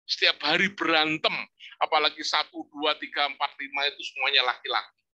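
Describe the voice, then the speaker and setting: Older man, professor giving a campus talk, very bright tone, storytelling lilt. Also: a little high, fairly quick. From Indonesia. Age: 60s. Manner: storytelling, lively rising emphasis, religious exclamations. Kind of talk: informal religious study lecture.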